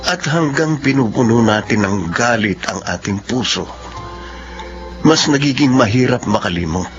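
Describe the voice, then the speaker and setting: A man's voice: mid-range voice, animated, normal speed, close to an everyday voice. A man playing a reflective, forgiving son who narrates his life in a Filipino radio drama, in dull sound over music. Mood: reflective, earnest. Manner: reflective, earnest.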